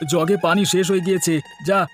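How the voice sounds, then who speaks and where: A man's voice: high voice, flat delivery, moderate pace, music in the background, lightly acted. A man voicing a plaintive, anxious young brother in a cartoon story. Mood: anxious, demanding.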